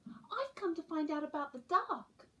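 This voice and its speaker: A storybook baby barn owl, a small boy bird acted out by a woman reader. The voice is high and very lively in pitch, with an earnest, childlike emphasis. He sounds eager yet timid. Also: unhurried, highs cut off, exaggerated voice. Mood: earnest, wary.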